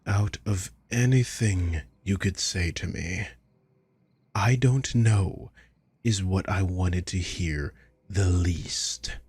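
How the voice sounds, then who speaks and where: A man playing a sadistic villain in an ASMR roleplay, coolly menacing and mockingly caring, speaking in a breathy, deliberate, taunting way. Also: low voice, darkish tone, no echo, heavily acted. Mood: disappointed, taunting. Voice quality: breathy, husky. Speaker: audio roleplay voice actor.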